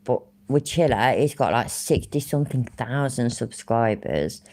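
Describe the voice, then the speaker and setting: A woman's voice: very deep voice, lively intonation, measured pace, darkish tone. Rambling, swearing Midlands woman streamer. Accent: English Midlands. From English Midlands. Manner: rambling, swears freely, laughs often. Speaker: live streamer. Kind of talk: casual live-stream chat.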